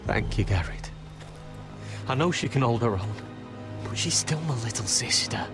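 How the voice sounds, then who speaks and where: A man's voice: medium pitch, lively intonation, normal speed, lightly acted. An actor playing a wounded, feverish young man who earnestly pleads with his friend, in a video game cutscene with music behind him.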